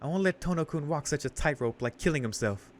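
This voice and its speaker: Man voicing an earnest young woman, pitched up. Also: expressive, quick pace, natural voice. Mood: indignant. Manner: pleading, urgent. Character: earnest, protective young woman, anxious and indignant. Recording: music behind the voice.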